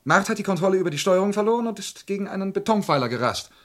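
An actor playing an intense, lovesick murderer in a radio play, impassioned, moving between hushed and outraged delivery. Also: male voice, high voice, animated, racing. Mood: agitated, defensive. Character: intense, wounded, lovesick man who confesses to murders. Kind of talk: dramatic radio play acting.

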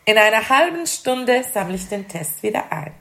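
A woman's voice: medium pitch, big pitch swings, normal speed, pushed and bright. A woman with a Swiss German accent playing a kindly primary school teacher in an amateur radio play, speaking simply to children. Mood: kindly, encouraging.

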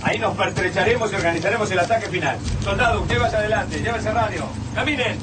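High-pitched stubborn actor as army major. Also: male voice, quick pace, lightly acted. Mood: dismissive, commanding. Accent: Argentine. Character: stubborn, condescending male army major barking orders. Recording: distant voice amid noisy military rumble.

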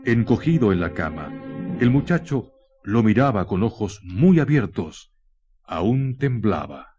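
A man narrating a scripted radio horror drama in a grave, dramatic manner with deliberate pauses. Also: fairly low pitch, big pitch swings, mellow, lo-fi sound, soft background music.

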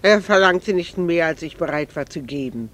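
An actress in a German radio drama playing a proud, candid, melancholy married woman, speaking in a measured, formal and earnest way. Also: lowish voice, animated, lightly acted. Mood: candid, wistful.

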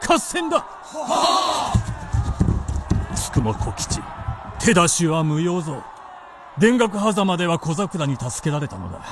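Imperious samurai warlord, slow and sweeping. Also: male voice, a little high, wildly swooping pitch, shouting, over music, crowd noise. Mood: resolute, commanding. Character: imperious, fearless samurai warlord facing his death. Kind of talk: Japanese radio drama acting.

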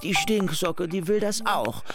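An actor playing a playful big brother telling a silly story with theatrical flair and youthful slang in a radio drama. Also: male voice, high-pitched, animated, unhurried. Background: loud music.

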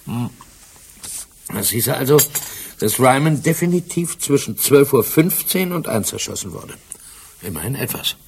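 An actor playing a diligent, deferential police subordinate in a German radio drama, polite and a little hesitant. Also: male voice, mid-range voice, sing-song, measured pace, creaky, pauses often, slightly rough, full character voice. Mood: attentive.

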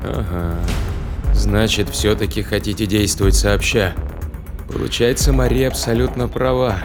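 Audio drama part: male actor as wary man thinking aloud, lowish voice, musing delivery, music behind. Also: fairly even intonation, moderate pace, lightly acted. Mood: wary realisation. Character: suspicious man reasoning aloud to himself.

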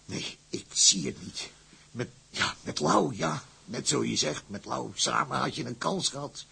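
Gruff, wary shipping director for audio drama; rough gravel voice, badly husky, plain-spoken. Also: male voice, mid-range voice, expressive, unhurried, bright, forceful tone, exaggerated voice. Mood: reluctant. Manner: cautious, warning, plain-spoken. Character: gruff, wary, hard-schooled tugboat company director. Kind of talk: Dutch audio drama acting.

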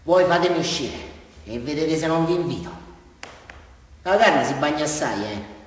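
In a very echoey hall, heard from off, an actor plays an unhinged man from a prison cell, with a southern Italian accent. His voice is high for a man. He rambles boastfully and repeats himself in a volatile stage monologue. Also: expressive, normal speed, natural voice. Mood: creepy, subdued. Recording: echoey hall, distant mic, faint noise.

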